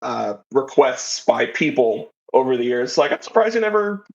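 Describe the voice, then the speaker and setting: A man's voice: mid-range voice, big pitch swings, moderate pace, gravelly. A man talking casually as a podcast guest, telling stories in a rambling, informal way. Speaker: podcast guest, audio drama writer. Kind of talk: casual interview chat. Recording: slightly dull, lo-fi audio.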